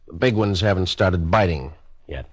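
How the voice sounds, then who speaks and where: Sly male inspector, husky lowish voice, laconic veiled menace, vintage radio detective show. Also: normal speed. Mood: sly, casual. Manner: casual, veiled warnings, laconic. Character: sly, cagey male police inspector, quietly threatening. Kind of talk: old-time radio drama acting.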